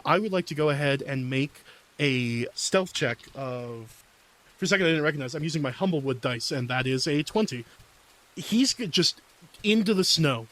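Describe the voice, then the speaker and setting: A man's voice: on the high side, fairly bright tone. A man playing a tabletop game, casually narrating his character's moves and working through dice checks aloud.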